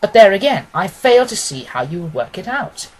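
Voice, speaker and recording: A man voicing a mild, puzzled gentleman doctor in an audiobook, earnest and formal as a loyal companion. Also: high voice, big pitch swings, moderate pace, low-quality recording. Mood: protesting. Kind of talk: dramatised audiobook reading.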